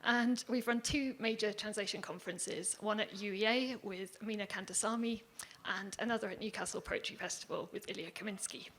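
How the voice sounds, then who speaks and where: A woman presenting at a panel with slides, speaking in a measured, self-deprecating way. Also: mid-range voice, fairly even intonation, fairly bright tone, a little hiss or hum. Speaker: poetry organisation manager presenting. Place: conference hall.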